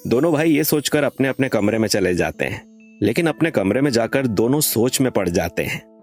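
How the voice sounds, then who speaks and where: A male narrator reads a scripted moral tale in a middle-register voice. Soft music plays beneath the storytelling. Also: medium pitch, expressive, normal speed.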